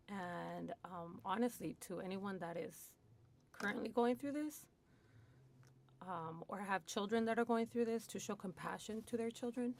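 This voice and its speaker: A woman from Hollywood, a guest in a radio studio, telling her story candidly and casually, with lots of "like" and "you know.". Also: mid-range voice. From Hollywood, USA. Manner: chatty, lots of "like" and "you know", laughs often. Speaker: guest in recovery.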